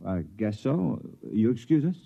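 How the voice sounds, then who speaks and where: A man acting as an earnest, awkward, lovestruck young newcomer in a 1950s radio drama, halting and bashful. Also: medium pitch, very animated, gravelly, warm tone. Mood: awkward, reluctant. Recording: muffled vintage radio recording.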